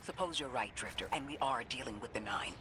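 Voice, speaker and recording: A man's voice: medium pitch, expressive, brisk, lightly acted. A man playing an analytical mission companion in a video game, speaking in a formal, serious way. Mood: serious, inquiring. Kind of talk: video game dialogue acting. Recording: loud vehicle-like noise behind.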